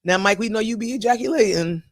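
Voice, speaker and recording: A woman co-hosting a podcast in casual chat, slightly hoarse after a cold, chatty and playful. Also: medium pitch. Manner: chatty, playful, talks in quick bursts. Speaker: podcast co-host.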